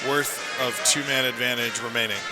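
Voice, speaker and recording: A man's voice: medium pitch, fairly even intonation, moderate pace, music in the background. A man giving opinionated, emphatic color commentary on a live hockey radio broadcast. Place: indoor ice rink. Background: loud rink ambience and noise.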